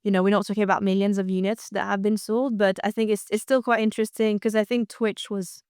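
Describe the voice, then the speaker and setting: A woman's voice: mid-range voice, little pitch movement, fast talker, darkish tone, smooth, no room sound, studio quality. A woman co-hosting a casual podcast, chatting loosely with lots of fillers and restarts. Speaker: co-host.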